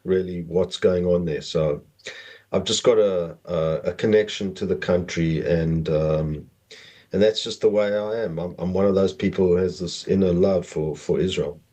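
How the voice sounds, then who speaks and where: Deep, mellow South African man. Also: fairly even intonation, normal speed. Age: middle-aged. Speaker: podcast guest. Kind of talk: conversational personal storytelling.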